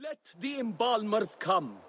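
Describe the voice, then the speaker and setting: High-voiced, solemn funeral priest. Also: male voice, big pitch swings, fairly bright tone, soft background music, exaggerated voice. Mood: reverent. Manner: slow, ceremonial intoning. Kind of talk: dramatic video game cutscene acting.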